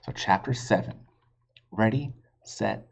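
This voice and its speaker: A man's voice: on the low side, takes it slowly, creaky. A man reading a children's storybook aloud expressively, like a teacher, on a slightly muffled recording. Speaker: reader, teacher.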